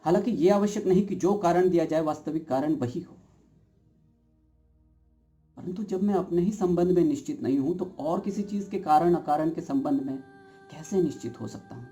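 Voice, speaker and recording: Casting: male actor as uncertain, brooding everyman for a stage monologue; very mellow, dark tone, deliberate philosophical delivery with dramatic stresses. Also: a little high, faint music behind, close to an everyday voice. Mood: emphatic, questioning. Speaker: actor performing an audition monologue. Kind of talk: theatrical stage monologue addressed to the audience.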